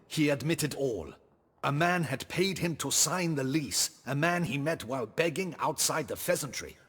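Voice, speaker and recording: An actor playing a dutiful, weary captain of the guard in a video game, giving formal, earnest military reports. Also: male voice, mid-range voice, a bit bright, husky, big-room sound. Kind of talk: dramatic video game acting.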